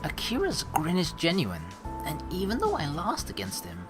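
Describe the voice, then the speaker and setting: A man narrates a game in an animated voice with lively swings in pitch. He slips between reading text aloud and loose, sweary, rambling commentary, with game music clearly playing behind him. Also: moderate pace. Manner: profane, rambling, theorizing. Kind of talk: casual gaming commentary mixed with read-aloud narration.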